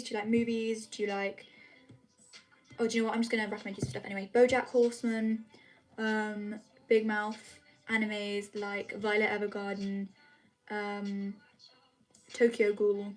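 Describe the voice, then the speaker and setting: A woman's voice: mid-range voice, flat delivery, moderate pace, faint music behind. A young woman chatting casually with her viewers on a live stream, rambling with lots of fillers. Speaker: vlogger answering chat.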